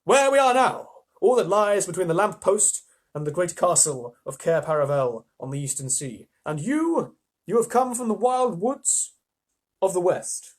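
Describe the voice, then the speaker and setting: A man voicing an excitable, courteous fawn with a flustered, effusive, old-fashioned politeness in a storybook reading. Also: high-pitched, unhurried. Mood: courteous wonder.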